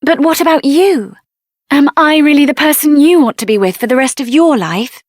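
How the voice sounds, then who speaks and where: An actress voicing a spirited, headstrong young woman adventurer in a video game, expressive and earnest, with a tender side. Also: high voice, moderate pace, no room sound, clean studio sound, natural voice. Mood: earnest, nervous confession.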